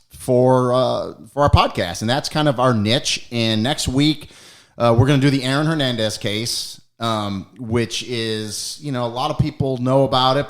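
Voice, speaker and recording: A middle-aged American man hosting a podcast, talking casually and telling stories with lots of fillers and self-corrections. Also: mid-range voice. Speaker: podcast host. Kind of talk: casual podcast chat and storytelling.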